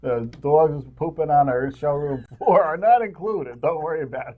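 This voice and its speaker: A man's voice: a little high, normal speed. A man co-hosting a local cable show with casual, wry, joking grumbles, in slightly dull lo-fi sound.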